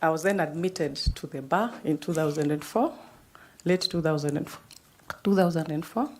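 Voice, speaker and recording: A woman in her fifties with a Mount Kenya accent, calmly introducing herself to an interview panel in a formal, measured way. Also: sits low. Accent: Kenyan, Mount Kenya region. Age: 50s. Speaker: job candidate at a panel interview. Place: interview room. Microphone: table microphone.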